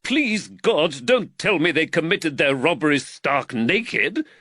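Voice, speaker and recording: A man's voice: on the high side, very animated, takes it slowly, pushed and bright, breathy edge, no echo, studio quality, voice pushed up, heavily acted. A man voicing an exasperated, short-tempered magistrate in an audiobook, snapping out commands. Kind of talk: audiobook character dialogue.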